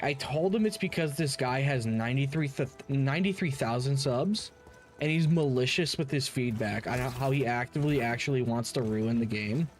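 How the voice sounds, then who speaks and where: A man doing casual live game stream commentary in a blunt, opinionated manner. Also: mid-range voice. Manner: blunt, opinionated, heavy swearing.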